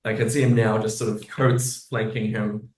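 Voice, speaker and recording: A man chatting casually as an interview guest, halting and often restarting his thoughts mid-sentence. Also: fairly low pitch, little pitch movement, a little mellow. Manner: halting, self-interrupting, rambling. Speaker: guest, musical theatre writer. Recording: echoey room.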